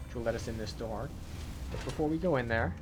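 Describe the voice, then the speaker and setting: A man's voice: mid-range voice, fast talker. A man giving video game walkthrough commentary as a tour guide, instructional and matter-of-fact.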